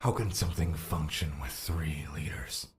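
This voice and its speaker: Man acting very deep, calm royal confidant. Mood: calm, probing. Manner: measured, terse, inquisitive. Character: calm, probing male confidant of the prince. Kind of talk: audio drama acting.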